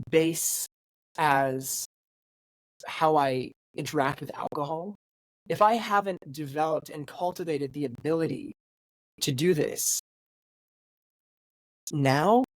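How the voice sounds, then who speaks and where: A man in his late twenties, a podcast guest talking candidly in a reflective, halting way with many fillers and restarts. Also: on the high side, dry recording, low-quality recording. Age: 20s. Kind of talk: candid conversational interview.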